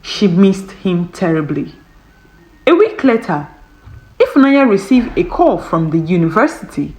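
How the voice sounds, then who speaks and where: Slow, expressive woman narrating a romantic story. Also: fairly low pitch, rough recording. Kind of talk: dramatic scripted romance narration.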